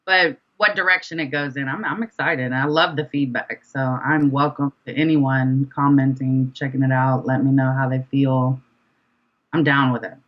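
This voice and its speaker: A woman's voice: a bass voice, fairly even intonation, normal speed, dull audio. A woman chatting casually as an interview guest, with an informal, slangy, enthusiastic manner. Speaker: interview guest, independent rapper.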